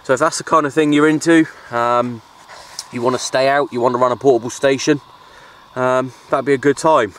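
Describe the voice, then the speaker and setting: A man with a British accent chatting casually and informally to viewers in an unhurried, easygoing way, like an outdoor hobby vlogger. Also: steady tone, a bit bright. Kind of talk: casual outdoor vlog chat. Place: outdoors at a windy makeshift shelter. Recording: noisy outdoor recording with ambient rumble.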